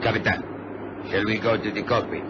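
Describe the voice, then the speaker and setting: A man's voice: on the low side, fairly even intonation, fairly quick, close to an everyday voice. A man playing a polite but menacing hijacker in a radio drama. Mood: firm, courteous. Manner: courteous, coolly threatening. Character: polite, menacing Latin male hijacker with a gun. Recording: noisy, dull vintage radio recording.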